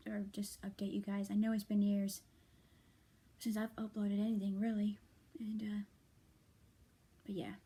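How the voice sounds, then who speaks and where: Young woman, hoarse, sleepy, whispery, mellow dark tone, breathy, halting ramble. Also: mid-range voice. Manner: soft, halting, often whispery, many fillers and restarts. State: tired and hoarse. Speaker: vlogger. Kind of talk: late-night rambling vent to viewers.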